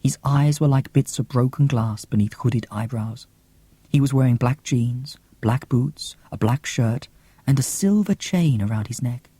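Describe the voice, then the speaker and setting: A man plays a young woman telling her diary in an audiobook. The delivery is earnest and wide-eyed, with a breathy, dark softness and tender romantic feeling. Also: medium pitch, no room sound.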